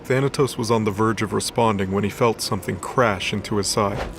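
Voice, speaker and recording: Low, even-toned male storyteller. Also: fairly quick, noisy background. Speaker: narrator. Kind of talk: scripted story narration.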